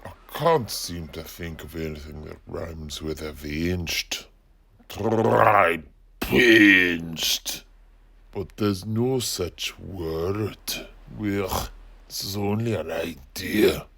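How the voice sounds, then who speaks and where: A man playing an earnest, slow-witted warrior noble in comic mock-Shakespearean style, gruff and halting. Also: sits low, a bit bright, heavily acted. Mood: frustrated, halting. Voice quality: gruff, husky. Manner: ponderous, halting.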